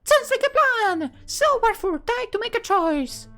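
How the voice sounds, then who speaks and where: Visual novel schoolgirl, very high and airy, earnest, animated, music underneath. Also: measured pace, full character voice. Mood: brisk, cheerful.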